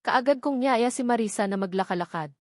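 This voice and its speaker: A woman's voice: a little high, brisk, darkish tone, no room sound, studio quality. A woman with a Filipino accent reading a first-person romance novel as an audiobook narrator.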